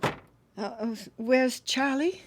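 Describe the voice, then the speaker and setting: Anxious mother, slightly high voice. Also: slow pace, noisy background. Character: anxious mother with grown children. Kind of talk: radio drama acting.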